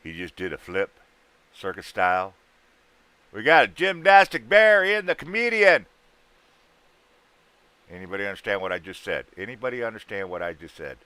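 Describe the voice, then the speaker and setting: A man's voice: on the low side, huge pitch swings, moderate pace, pauses often, pushed and bright, smooth, dry recording. A man in his thirties, hosting a livestream and bantering with guests in trucker slang. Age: 30s. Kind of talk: casual livestream chat.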